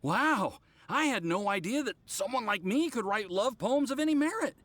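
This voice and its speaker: A man's voice: very high-pitched, animated, normal speed, a bit bright, no echo, full character voice. A man voicing an earnest young alchemy student, flustered and deferential, in an anime-style video game. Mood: grateful, amazed. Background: faint game music.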